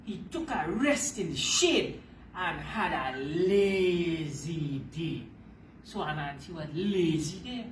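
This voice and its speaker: A woman's voice: fairly low pitch, lively intonation, slow pace. A woman reading a children's story aloud as storyteller, emphatic and repeating phrases to explain them to her listeners. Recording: roomy, distant mic, faint background noise.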